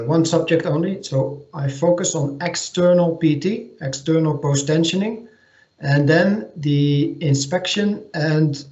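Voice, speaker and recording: Slow, hesitant man on an echoey call. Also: on the high side, mellow. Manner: hesitant, many ums and restarts. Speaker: technical manager presenting at a seminar. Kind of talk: online technical presentation. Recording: echoey lo-fi video call audio.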